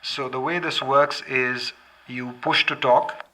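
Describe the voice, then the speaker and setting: A man's voice: mid-range voice, steady tone, slow, bright, forceful tone, poor audio. A man presenting a product in an instructional demonstration, explaining methodically step by step.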